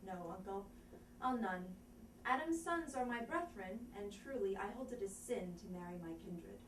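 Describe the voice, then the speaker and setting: A woman's voice: mid-range voice, animated, normal speed, natural voice. A woman acting a witty, defiant young unmarried heroine in a playful, declamatory Shakespearean stage scene. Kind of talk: stage dialogue, scene reading. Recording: roomy, distant, faint noise.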